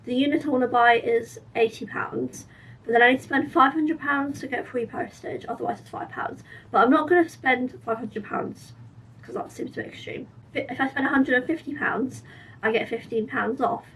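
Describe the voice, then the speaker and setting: Croaky young woman vlogger, a little high, tired and rambling, mic back a bit with faint rumble. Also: moderate pace, fairly bright tone, recorded from a distance, poor audio. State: croaky, sore-throated voice. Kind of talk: casual diary vlog.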